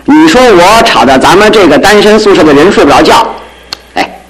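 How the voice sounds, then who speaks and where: An actor playing a boisterous, carefree young bachelor with a teasing, chuckling, theatrical manner in a radio drama. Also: male voice, sits high, expressive, a little fast, a bit bright. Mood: jovial, carefree. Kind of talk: Mandarin radio drama acting. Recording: faintly noisy old radio broadcast.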